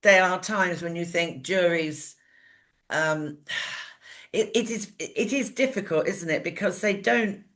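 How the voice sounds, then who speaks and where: A woman's voice: on the low side, slow pace, a bit bright. A woman co-hosting a talk show, chatting casually and giving opinions, often repeating words and posing questions as she works out her thoughts.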